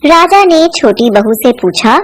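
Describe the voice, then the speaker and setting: Woman reading a cartoon folk story, high voice, takes her time. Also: lively intonation, a little slow. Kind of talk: scripted folk-tale narration for cartoon.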